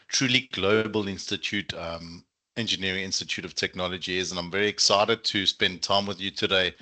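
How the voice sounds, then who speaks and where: A man's voice: low-pitched, pushed and bright. A man with a South African accent, presenting an online session in an enthusiastic, chatty way as a recruitment manager. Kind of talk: informal webinar presentation to an online audience.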